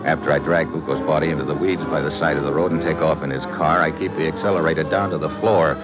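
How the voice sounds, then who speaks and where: An actor playing a tough, wry, wisecracking adventurer who narrates an old radio drama in clipped phrases. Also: male voice, extremely low pitch, fairly even intonation, quick pace, dull audio. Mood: clipped, suspenseful. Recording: vintage radio with loud music behind.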